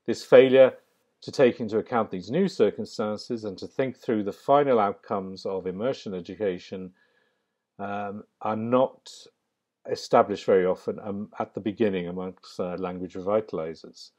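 A man giving a narrated slide lecture in a measured, academic way, pausing with frequent ums. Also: on the low side, darkish tone. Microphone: voice-over on slides.

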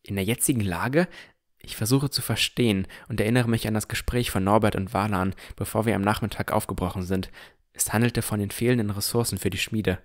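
A man's voice: on the low side, steady tone, a little fast. A man narrating a fantasy audio drama in the first person from a script.

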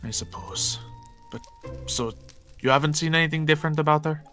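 A man's voice: a little high, brisk, bright, forceful tone, soft background music. A man playing an anxious, suspicious guild mentor in a game roleplay, with hesitant, formal, theatrical phrasing. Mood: worried, hurt. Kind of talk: improvised Minecraft roleplay acting.

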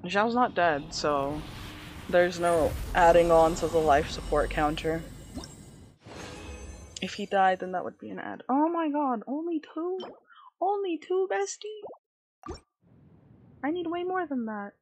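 A woman's voice: medium pitch, lively intonation, slow, long pauses, a little mellow, a little hiss or hum. Woman streamer with a slight lisp. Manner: chatty, playful, talks to chat. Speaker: game streamer. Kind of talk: casual gameplay commentary. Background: faint game music.

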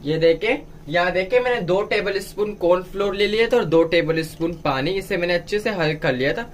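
A man's voice: sits high, fairly quick, a little hiss or hum. A man giving a step-by-step cooking tutorial as a home cook, instructing viewers directly. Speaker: home cook presenting a recipe.

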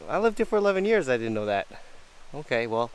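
A man hosting a vlog, chatting to a close handheld camera on a dark mountain trail, tired from climbing. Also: mid-range voice, big pitch swings, fast, clean tone, poor audio. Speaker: vlog host. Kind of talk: casual vlog commentary while hiking. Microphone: handheld camera held close. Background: background music.

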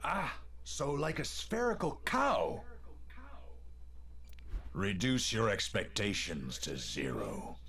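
A man's voice: on the low side, big pitch swings, takes it slowly, vocal fry, pauses often, bright, forceful tone, full character voice. An actor playing an eccentric, brooding male scientist in video game voice lines. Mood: musing.

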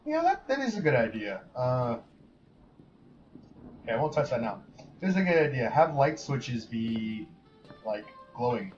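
A man's voice: medium pitch, sing-song, measured pace, long pauses, music in the background. A man casually commentating on a horror game as he plays, thinking aloud with wry, muttered remarks. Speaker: gamer. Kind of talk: casual let's play commentary. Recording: slightly dull lo-fi mic with faint hiss.

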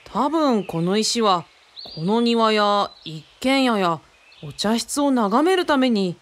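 Woman acting excitable boyish guy, lively pitch. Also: takes it slowly. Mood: impressed. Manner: casual, boyish, exclamatory speech. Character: boyish, excitable young male sidekick, Kaoru. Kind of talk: radio drama acting.

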